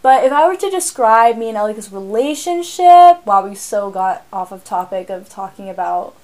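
A teenage girl co-hosting a podcast, chatting casually in an animated, rambling way, often laughing. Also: on the high side, normal speed. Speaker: podcast co-host. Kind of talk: casual chat.

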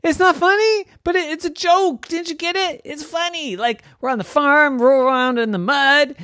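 A woman voicing an eager young female pig who wants to be a comedian, in a squeaky, pleading, chatty way, for a bedtime story. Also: normal speed, fairly bright tone, dry recording.

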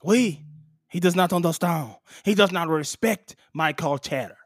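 A man's voice: fairly high pitch, unhurried, no room sound, clean studio sound. A man voicing a pompous, aggrieved French basketball star with a French accent, dropping French words in theatrically. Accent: French, put on. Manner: theatrical, self-satisfied, peppers speech with French words.

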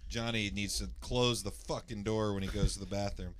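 A man from the Indianapolis area with a naturally loud voice, casually telling a long personal story, rambling with lots of fillers and restarts and clearing his throat. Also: lowish voice, fairly even intonation, normal speed, a little hiss or hum. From Indianapolis area, USA.

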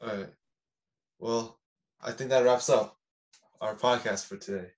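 A young man hosting a podcast, chatting casually in an excitable, slangy way with frequent laughter. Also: medium pitch, unhurried. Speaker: podcast host. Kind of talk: casual chat.

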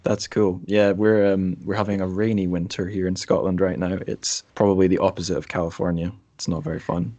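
A man's voice: sits low, steady tone, a little fast, hardly any pauses, mellow, no echo. A man hosting a podcast in an informal, chatty, conversational style. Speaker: podcast host. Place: remote recording over internet call.

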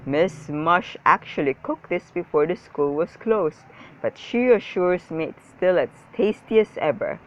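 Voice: mid-range voice, lively intonation, unhurried, close to an everyday voice. Storybook school principal played by a narrator: hearty, pompous, emphatic announcer delivery, slightly dull recording. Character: upbeat, self-important school principal on loudspeaker.

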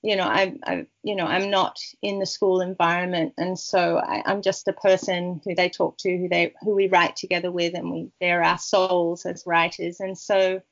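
A woman author from Peru, a Spanish native speaker, talking in a rambling, warm way with many restarts in a casual interview. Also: fairly low pitch, flat delivery, moderate pace, few pauses, highs cut off. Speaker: author guest.

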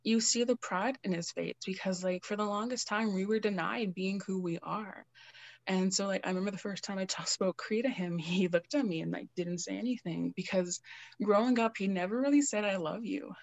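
A woman's voice: medium pitch, moderate pace, gravelly, no echo. A young woman from Canada talks in an earnest, soothing voice as a guest in an interview. She tells personal stories, slipping in casual fillers along the way. The sound is dry and close, with no room around it. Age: young adult. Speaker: Cree educator, guest. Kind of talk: reflective conversational interview.

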